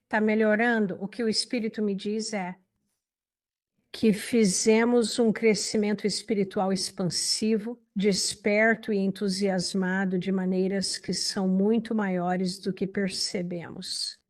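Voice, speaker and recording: A woman with a Brazilian accent giving reflective, earnest answers as a spiritual teacher in a dubbed interview. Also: medium pitch.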